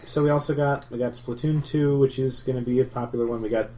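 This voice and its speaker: A man's voice: medium pitch, fairly even intonation, fast, mellow. Chatty man on a noisy podcast. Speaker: podcast co-host. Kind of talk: casual panel discussion about video games. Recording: clear background noise.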